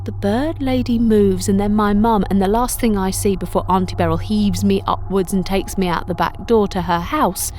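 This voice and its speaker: A woman reading a first-person audio drama as narrator, expressive and suspenseful. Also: medium pitch, moderate pace, few pauses, a little hiss or hum. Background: music.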